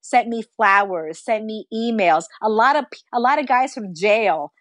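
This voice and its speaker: A woman's voice: a little high, expressive, normal speed, fairly bright tone, dry recording, studio quality. A woman from New Jersey, a former radio traffic reporter, telling stories in an interview, acting out quotes and laughing often. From New Jersey, USA. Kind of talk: casual interview storytelling.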